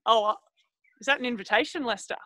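A woman's voice: a little high, fast, sharp tone, no room sound. A woman playing a cheery, clueless Australian prepper with an Australian accent, speaking chummily on a video call from outdoors. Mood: chummy. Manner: chummy, rambling, calls everyone mate. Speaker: guest. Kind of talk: improvised comedy character.

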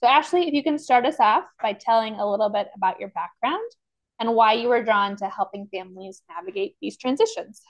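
A woman's voice: a little high, sing-song, fairly quick, rough recording. A woman guest-hosting a podcast, opening with a friendly prepared introduction.

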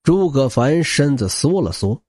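A man's voice: medium pitch, big pitch swings, measured pace, darkish tone, no room sound, clean studio sound. A man reading a novel aloud as a dramatic scripted narration. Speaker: audiobook narrator.

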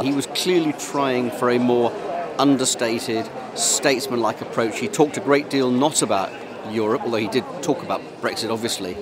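A middle-aged man reporting on location at a conference venue, in a dry, measured analytical way. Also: mid-range voice. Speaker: political commentator and reporter. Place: busy conference venue indoors.

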